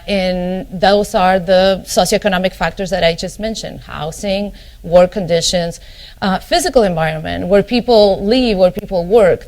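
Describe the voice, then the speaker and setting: A woman's voice: fairly low pitch, a little mellow. A middle-aged woman from Argentina with an Argentine Spanish accent, a family physician giving a conference keynote, often stopping on ums and "you know" and restarting her phrases. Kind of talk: conference lecture.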